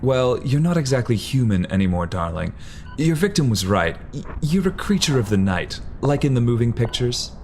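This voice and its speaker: A man's voice: mid-range voice, very animated, normal speed, a little mellow, slight background noise. An actor playing a cool, sardonic male vampire in an audio drama, dry, condescending and commanding. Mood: wry, menacing. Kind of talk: audio drama acting, old-fashioned slang.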